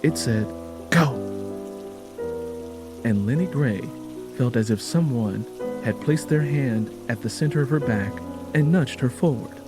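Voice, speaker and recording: A man narrating a scripted story dramatically, in a solemn and deliberate manner. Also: mid-range voice, music in the background.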